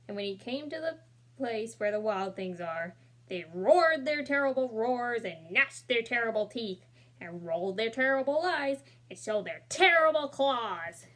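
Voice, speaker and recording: A woman reading a children's picture book aloud, gently talking to young listeners between pages and voicing the characters with expression. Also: a little high, expressive, a little slow. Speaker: storybook reader. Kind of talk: children's read-aloud with asides.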